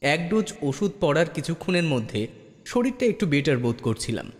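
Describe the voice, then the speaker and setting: A man's voice: fairly high pitch, sing-song, normal speed. A man narrating a ghost story in the first person, in a dramatic storytelling style. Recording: long reverb with music bed. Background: background music.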